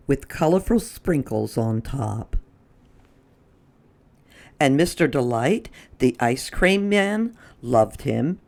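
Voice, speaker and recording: Slow, lively, creaky children's storyteller. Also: mid-range voice. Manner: expressive, deliberate. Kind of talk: children's read-aloud narration.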